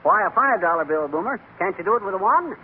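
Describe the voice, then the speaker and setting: A man's voice: sits high, animated, fast. A man playing a brash, nosy husband with folksy, blunt wisecracks in a 1930s radio comedy. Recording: tinny, noisy 1930s radio recording.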